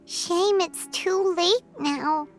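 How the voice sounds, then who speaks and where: An extremely high, childlike fairy companion in a cartoonish video game style. She is tiny, earnest and curious, squeaking along slowly over faint music. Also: exaggerated voice. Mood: thoughtful, saddened.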